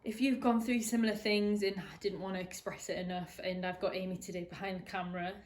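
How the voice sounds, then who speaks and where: A woman's voice: medium pitch, fairly even intonation, quick pace, darkish tone, slight background noise. A woman in her twenties speaking candidly in an interview, hesitant, with many restarts and fillers. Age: 20s. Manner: hesitant, lots of restarts and ums. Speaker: interviewee sharing a personal story.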